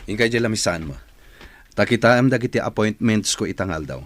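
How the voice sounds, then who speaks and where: An actor playing an easygoing but businesslike company boss in an Ilocano radio drama. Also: male voice, lowish voice, expressive, fairly quick, no echo.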